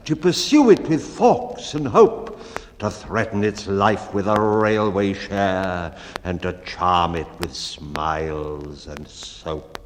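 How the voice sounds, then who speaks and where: A man voicing an impatient, commanding bellman in a dramatic poetry reading, loud and imperious. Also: mid-range voice, big-room sound. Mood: rousing. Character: blustering, impatient bellman, commanding leader of men.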